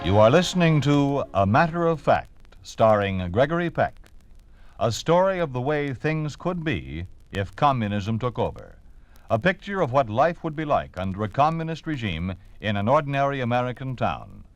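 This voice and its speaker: A man announcing a radio drama in a deliberate, dramatic scripted style of mid-century broadcasting. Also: mid-range voice, big pitch swings, no room sound. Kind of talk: 1940s-50s radio announcing, scripted narration.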